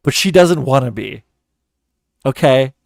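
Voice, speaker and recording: A man's voice: medium pitch, lively intonation, a little slow, vocal fry, no room sound, studio quality. A man giving mocking, profane commentary in a sarcastic, scornful way. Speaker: commentary host.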